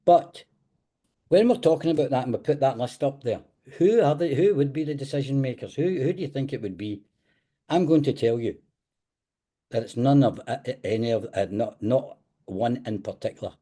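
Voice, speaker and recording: Scottish man giving an animated webinar. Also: medium pitch, moderate pace. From Scotland. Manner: animated, emphatic, direct advice with Scottish words like "wee". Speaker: webinar host and football agent coach. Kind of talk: online webinar teaching. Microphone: video call.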